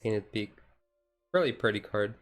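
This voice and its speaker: A man streamer chatting casually in a chill, low-key livestream, rambling along with hesitant pauses. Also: expressive, faint music behind. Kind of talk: casual chat, ASMR-style chill livestream.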